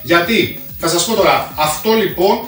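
A man in his thirties hosts a casual video in a slightly high voice. He chats in a slangy way and drops in English collector terms. He is recorded a little far from the mic in an echoey room. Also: expressive, a bit bright. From Greece. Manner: chatty, slangy, mixes in English collector jargon. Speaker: host of a retro console collecting channel. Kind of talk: casual video presentation to viewers. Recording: echoey room, distant mic, music behind.

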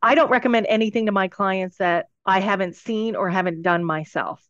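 A woman's voice: medium pitch, a little fast, a bit bright, no room sound. Woman explaining things, dry dull recording. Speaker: podcast guest, business adviser. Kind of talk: conversational explanation with anecdotes. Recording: dull lo-fi audio.

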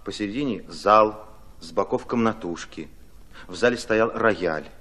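A man's voice: on the low side, unhurried, fairly bright tone. An actor playing an army commissar who narrates his war memories reflectively in a radio drama. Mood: wistful, musing. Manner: reflective, storytelling.

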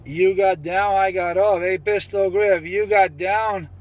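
A high-voiced man talks on CB radio. He is boastful and comes back to the same catchphrases again and again. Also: steady tone, unhurried, very noisy. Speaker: CB radio operator. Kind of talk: CB radio banter.

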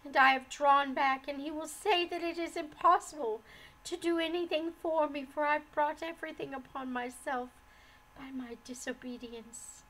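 A woman's voice: high voice, steady tone, takes it slowly, few pauses, dark tone, pitched above the performer's own voice, poor audio. A woman voicing a heartbroken, despairing wife and mother in a storybook, with a trembling, pleading, sobbing delivery. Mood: desolate. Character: heartbroken, despairing, unhappily married young mother.

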